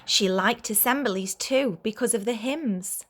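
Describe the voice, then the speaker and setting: A woman reading a children's story aloud as a teacher would, in a clear, expressive way for young listeners. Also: on the high side, a little slow.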